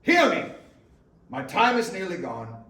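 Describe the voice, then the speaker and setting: A man playing the tormented ghost of a dead business partner in a Victorian readers theater tale, solemn, declamatory and deliberate. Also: high voice, wildly swooping pitch, sharp tone, full character voice. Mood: anguished, thundering. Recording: echoey room, distant mic, faint noise.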